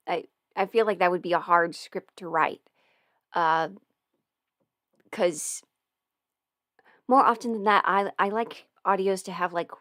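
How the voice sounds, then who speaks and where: A woman's voice: lowish voice, a bit bright, no echo, studio quality. Chatty young woman on a livestream. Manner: rambling, giggly, many fillers. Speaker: livestream host answering questions. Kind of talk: casual livestream Q&A. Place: home, eating ramen. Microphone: close microphone.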